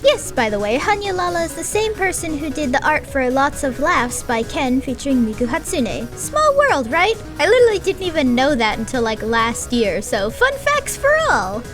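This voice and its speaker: A woman's voice: high voice, very animated, moderate pace, hardly any pauses, fairly bright tone, soft background music. A woman giving a sarcastic, swearing anime review with mock-dramatic asides. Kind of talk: sarcastic video review commentary.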